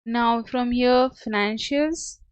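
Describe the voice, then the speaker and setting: A woman working as a software trainer, giving a step-by-step screen tutorial in a hesitant, halting way. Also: on the high side, clean tone, dry recording. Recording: dull lo-fi close dry audio.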